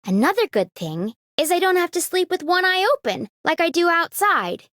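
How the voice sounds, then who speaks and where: Game role: blunt, simple-hearted woman, high and very animated, dry recording. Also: moderate pace, no echo, heavily acted. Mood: candid, content. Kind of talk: video game character voice line.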